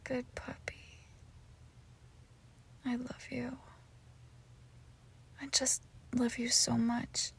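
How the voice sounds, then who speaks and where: Female performer as clingy, doting witch for ASMR audio drama; unhurried, husky delivery with whispered tenderness. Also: mid-range voice. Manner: soft, breathy, often slipping into whispers, tender cooing. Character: lovesick possessive forest witch, doting, lonely, clingy. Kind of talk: intimate ASMR roleplay, scripted monologue.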